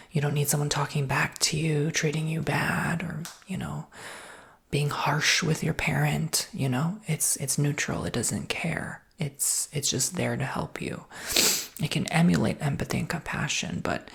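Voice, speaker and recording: A woman playing an easygoing, reassuring salesperson in an ASMR roleplay, soft-spoken and partly whispering in an informal, rambling way. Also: deep voice, vocal fry, full character voice. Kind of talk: ASMR roleplay, improvised customer-service chat.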